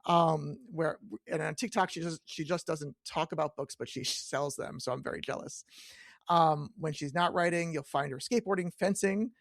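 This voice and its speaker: A man's voice: on the high side, moderate pace, gravelly, no room sound, clean studio sound. A man hosting a chatty interview podcast, joking and self-deprecating as he trips over his words and corrects himself. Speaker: podcast host.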